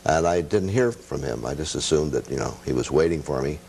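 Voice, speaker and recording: An older man, a veteran radio guest, chatting casually and reminiscing in a halting, self-deprecating, anecdotal way. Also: a bass voice, animated, vocal fry, a little mellow, a little hiss or hum.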